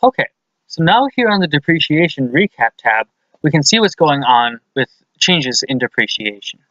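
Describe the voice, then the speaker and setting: Higher-voiced man narrating a methodical lesson. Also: very animated, normal speed, a bit bright, no room sound, lo-fi sound. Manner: methodical, explanatory. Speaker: accounting lesson presenter. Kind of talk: scripted instructional narration.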